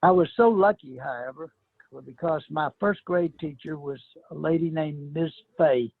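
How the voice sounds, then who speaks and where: Gravelly older man on a tinny line. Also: a little high, normal speed, no room sound. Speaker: panel guest. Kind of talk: personal storytelling.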